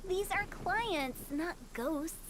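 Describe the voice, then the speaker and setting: A woman voicing a playful, composed young funeral parlor director in an anime game, teasing and unhurried. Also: very high-pitched, lively intonation, noisy background, full character voice. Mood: amused, apologetic.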